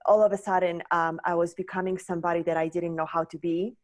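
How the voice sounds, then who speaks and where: A woman's voice: on the low side, little pitch movement, fast, darkish tone. A woman from Poland telling her personal story as a podcast guest, candid and searching, often restarting her sentences.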